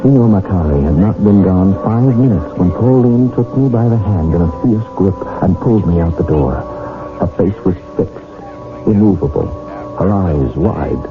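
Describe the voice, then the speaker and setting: An actor playing an anxious, earnest husband who narrates a mystery in a 1970s radio drama, with formal, dramatic diction. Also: male voice, low voice, expressive, normal speed. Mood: grave storytelling. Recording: dull old radio broadcast, music behind.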